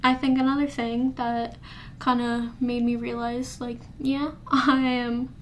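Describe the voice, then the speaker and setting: A young woman in her early twenties with a high, light voice chats to the camera from her bedroom. She talks candidly and rambles, restarting her sentences as she goes, over a faint hum of background ambience. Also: little pitch movement, slow. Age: early 20s. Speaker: vlogger. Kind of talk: casual diary vlog. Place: bedroom indoors. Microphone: camera in front of her face.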